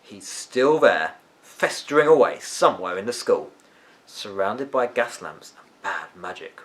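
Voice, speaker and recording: A man's voice: on the low side, expressive, measured pace, a bit bright. A man voicing a weary, tetchy but kindly eccentric uncle from a storybook, musing and emphatic in his delivery. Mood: musing, wistful. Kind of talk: storybook character voice.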